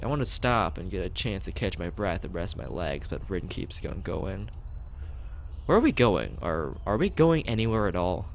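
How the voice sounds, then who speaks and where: Low-voiced man reading with lively swings. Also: vocal fry, a little hiss or hum. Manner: stumbles over words while reading. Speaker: let's play streamer. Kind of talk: reading a visual novel aloud with character lines and casual asides.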